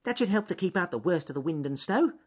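A man voicing a small, timid, excitable fluffy rabbit from a children's story, fretful and given to repeating himself. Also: high voice, fast talker, breathy edge, voice pushed up. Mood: busy, chattering.

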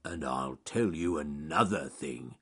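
A man doing a slow, careful, clever bear. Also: a bass voice, expressive, breathy edge, voice pushed down, heavily acted. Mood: pleased. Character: slow clever male bear, big and strong.

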